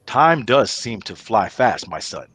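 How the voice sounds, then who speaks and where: A man's voice: lowish voice, very animated, unhurried, fairly bright tone, husky, no room sound, pitched below the performer's own voice, full character voice. A man playing a commanding, fatherly king with a regal, declarative manner. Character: commanding, fatherly king addressing son and court.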